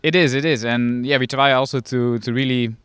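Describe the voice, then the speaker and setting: Smooth-voiced team director. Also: male voice, mid-range voice, fairly even intonation, fairly quick, fairly bright tone, no echo. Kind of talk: interview answers.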